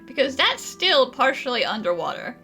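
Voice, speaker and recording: Woman let's-player: chatty, improvised, forward bright tone, lively intonation, light game music behind. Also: high voice, moderate pace. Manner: chatty, laughs easily. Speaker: gamer commenting during playthrough. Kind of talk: casual improvised let's play dialogue and commentary.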